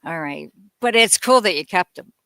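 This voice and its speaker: A woman hosting a radio interview, chatty and quick to laugh, asking long rambling questions. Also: mid-range voice, expressive, bright, forceful tone, no room sound. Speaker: radio show host. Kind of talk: casual interview chat.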